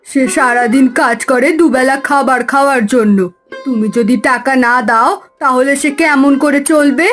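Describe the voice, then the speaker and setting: A kind-hearted village wife pleading on a poor man's behalf, voiced by a woman. Her voice sits high, with faint music underneath. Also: fairly even intonation, normal speed, a bit bright.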